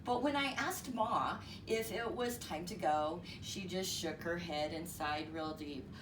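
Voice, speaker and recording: A woman reading a story aloud in an expressive, explanatory way, as if to young listeners. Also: on the low side, measured pace. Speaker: storyteller reading a picture book. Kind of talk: read-aloud story narration. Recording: roomy, distant mic, noisy.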